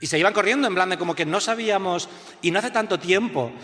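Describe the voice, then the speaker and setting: A man's voice: sits high, fast, fairly bright tone. A man hosting a live podcast on stage, telling anecdotes and laughing at them. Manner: storytelling, laughs at his own anecdotes. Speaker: podcast host. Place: stage before a live audience. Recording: long hall reverb.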